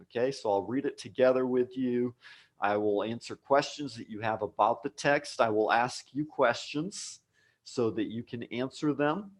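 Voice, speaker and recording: A man's voice: mid-range voice, moderate pace. An American man teaching a live English lesson online, speaking patiently and repeating key words for learners. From United States. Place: home office abroad.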